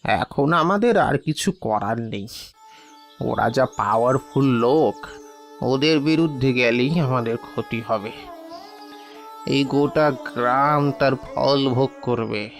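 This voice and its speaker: A man acting as a cautious, resigned village father in a cartoon, gravelly and calming. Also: medium pitch, slow, faint music behind. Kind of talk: cartoon story dialogue.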